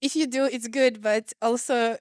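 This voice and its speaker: A woman's voice: on the high side, fairly even intonation, moderate pace, darkish tone, dry recording, clean studio sound. A young woman, a PhD student, answering panel questions on a video call, thoughtful and earnest with many fillers. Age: young adult.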